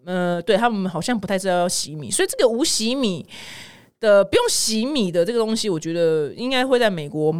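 A woman podcast host with a Taiwanese Mandarin accent and a very lively, swooping delivery. She chats casually and comically, leaning into dramatic exaggeration. The sound is dry and close, with no room to it. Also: medium pitch, brisk, clear, resonant tone, dry recording. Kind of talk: casual comedic news chat.

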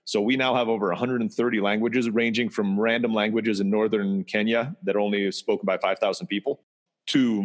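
A man who grew up in Turkey, telling his life story in a relaxed, conversational way, sometimes restarting and repeating words. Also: on the low side. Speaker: interview guest. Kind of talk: storytelling personal history.

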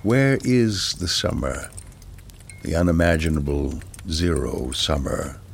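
A man gives a solemn poetry recitation in a very deep voice full of creak. He phrases deliberately and unhurriedly, letting weighty pauses fall between lines, with faint noise behind him. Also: very animated. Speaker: poetry reader.

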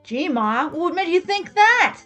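High female voice, narrator playing desperate thirtyish mom, snappish, impatient, emphatic, storybook reading, music behind. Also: sing-song, measured pace, fairly bright tone, full character voice. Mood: annoyed, desperate. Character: exasperated, desperate thirty-one-year-old mother, snappish and impatient.

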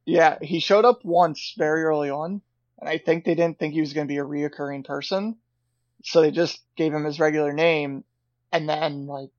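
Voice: medium pitch, fairly even intonation, normal speed, dry recording, highs cut off. A podcast co-host in casual chat, hesitant and full of fillers.

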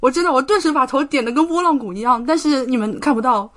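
A young woman from China chatting casually as a podcast guest, expressive and enthusiastic in the way she talks. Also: high voice, fast. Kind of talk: casual chat.

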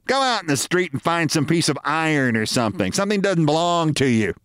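A man voicing a sharp-tongued middle-aged wife who scolds and mocks her husband. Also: fairly high pitch, sing-song, a little fast, dry recording, clean studio sound, pitched above the performer's own voice. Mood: scolding. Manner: scolding, sarcastic.

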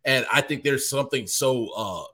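A man's voice: mid-range voice, moderate pace, pushed and bright, husky. A man being interviewed as a baseball writer, talking casually and animatedly with lots of restarts.

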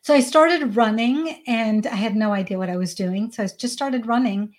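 A woman's voice: on the high side, moderate pace. A woman in her forties with an American accent and Hindi as her first language, talking conversationally as an interview guest. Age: 40s. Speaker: podcast guest, parenting coach.

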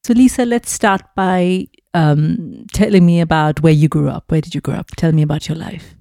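A woman hosting a podcast, reading a measured, earnest introduction and then interviewing a guest. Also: sits low, gravelly, warm tone, no room sound, clean studio sound. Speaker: podcast host.

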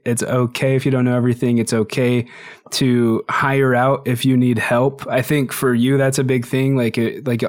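Guy hosting a podcast, from utah/idaho, mid pitched, upbeat and encouraging. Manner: encouraging, thinks aloud with restarts. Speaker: podcast host. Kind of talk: casual podcast conversation.

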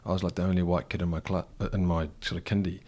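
A man's voice: sits low, level tone, fast, a little mellow. A middle-aged man with a New Zealand accent, thoughtfully recalling his past as an interview guest, often restarting his sentences. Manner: thoughtful, frequent restarts and fillers. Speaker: interview guest, cafe co-founder. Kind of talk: reflective conversational interview.

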